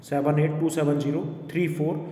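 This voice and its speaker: A man's voice: fairly high pitch, flat delivery, normal speed, warm tone. A man teaching a quiz lesson to online viewers, repeating questions instructively and switching into English phrases. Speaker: online exam coaching teacher. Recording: echoey reverberant room with faint noise.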